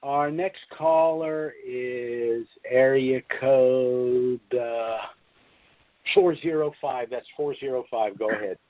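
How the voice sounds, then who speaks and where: A man's voice: medium pitch, takes it slowly, no echo. A middle-aged man hosting a call-in conference, chatting and telling stories informally. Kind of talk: casual chat and storytelling. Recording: dull, lo-fi conference call audio.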